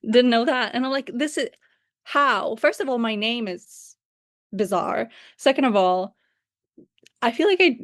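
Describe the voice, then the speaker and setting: A woman's voice: a little high, lively intonation, a little slow, long pauses, no room sound, studio quality. A young woman, a non-native English speaker with a light Slavic accent, talking reflectively as a guest researcher and hedging her points. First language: not English. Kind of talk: casual conversation.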